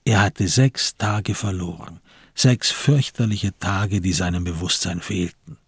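A man narrating a literary radio drama in a measured, grave storytelling manner. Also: deep voice, husky, dry recording, clean studio sound.

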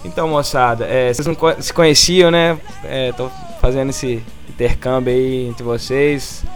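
A man hosting a casual podcast, asking questions in a relaxed, friendly way with fillers. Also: mid-range voice, normal speed, faint music behind. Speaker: podcast host. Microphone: computer mic.

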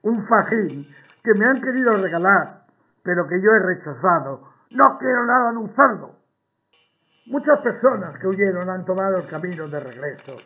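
Gloating fascist general, high voice, mock-sincere wartime broadcast, dull old-radio sound with faint music. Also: male voice, lively intonation, normal speed. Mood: smug sneering. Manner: boastful, sneering, mock-sincere. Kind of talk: 1930s wartime radio address acting.

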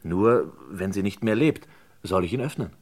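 Casting: courteous stranger on a quest, low voice, reserved and purposeful, crime radio drama. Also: male voice, animated, normal speed, darkish tone, slightly rough, no room sound. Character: polite, purposeful male visitor from Caracas seeking someone. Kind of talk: German crime radio play acting.

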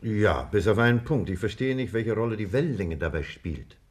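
Low, expressive, inquisitive man played by an actor. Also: a little fast, close to an everyday voice. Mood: inquiring. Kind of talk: 1950s German radio drama acting.

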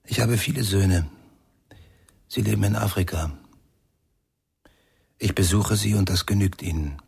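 A very husky, low-voiced musician, calm and cryptic, with a gravelly creak. He speaks in measured, laconic phrases, as in a radio crime drama. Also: no echo, studio quality, exaggerated voice. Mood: calm, probing. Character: enigmatic, calm male musician, knowing and laconic.

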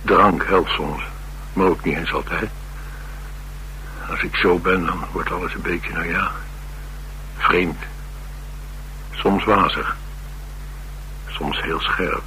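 Deep-voiced, troubled Dutch ex-soldier. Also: male voice, fairly even intonation, slow pace, long pauses, sharp tone, heavily acted, rough recording. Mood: troubled, uneasy. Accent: Netherlands Dutch. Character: troubled, blunt ex-soldier man, restless drifter. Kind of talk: radio drama dialogue acting. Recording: radio drama with clear hiss and hum.

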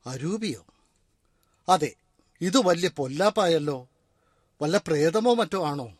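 A man's voice: fairly high pitch, animated, takes it slowly, dry recording, studio quality. An actor playing a puzzled, nervous man in a radio drama. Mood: bewildered. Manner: bewildered, nervous. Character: startled, puzzled man hearing a voice. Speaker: character in a radio play. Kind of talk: Malayalam radio drama acting.

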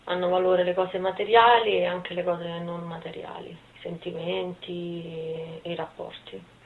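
A woman's voice: lowish voice, flat delivery, unhurried, vocal fry, a little hiss or hum. A woman in her twenties answering interview questions informally, hesitant and thinking aloud. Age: 20s. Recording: thin phone-like sound.

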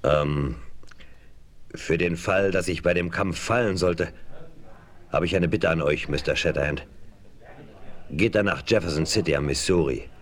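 A man's voice: deep voice, a little slow, long pauses. A man playing a brave, reserved frontiersman in a western radio play, courteous, formal and composed in his speech. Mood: earnest, composed.

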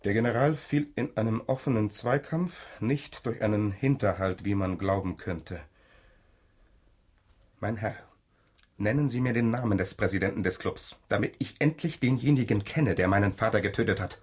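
A man's voice: fairly low pitch, moderate pace, slightly rough. An actor playing a grave, formal young man seeking the truth about his murdered father in a German radio drama. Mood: shaken, demanding.

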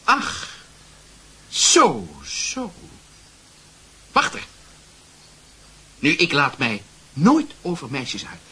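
A mocking, pompous male schoolmate in a radio play. The husky voice swoops extravagantly up and down in a slow drawl, teasing theatrically. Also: a little high, wildly swooping pitch, bright, forceful tone, exaggerated voice. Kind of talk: radio drama dialogue.

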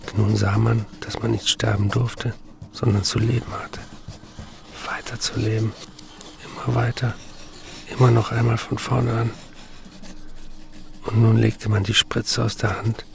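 A low-voiced, tormented writer, brooding through his confessions in a literary radio play. His speech is measured, with a gravelly creak. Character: tormented, addicted male writer reading his letters and confessions.

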